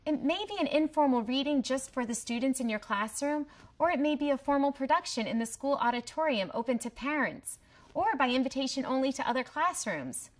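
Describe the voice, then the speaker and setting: A woman's voice: high-pitched, animated, brisk. A woman delivering scripted promotional narration as a presenter. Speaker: host presenter.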